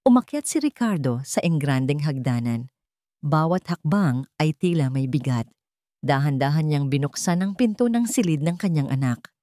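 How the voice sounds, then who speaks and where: Low-voiced woman reading a drama. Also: lively intonation, mellow, no room sound, clean studio sound. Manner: measured, solemn storytelling. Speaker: story narrator. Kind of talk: scripted dramatic narration.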